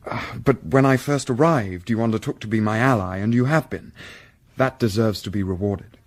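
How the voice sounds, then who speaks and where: An actor playing a discreet, shrewd young Victorian student, speaking in a measured, formal, dryly ironic way in a period audio drama. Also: male voice, fairly low pitch, close to an everyday voice. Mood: kindly, brisk.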